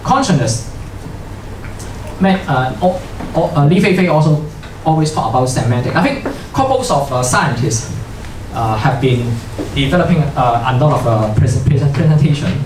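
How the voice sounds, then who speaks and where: A middle-aged man giving an informal talk at a tech meetup, halting, with many restarts and fillers, chuckling at his own points, on a handheld mic before a seated audience. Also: mid-range voice, expressive, noisy background. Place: lecture room with seated audience. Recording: roomy hall audio from far off.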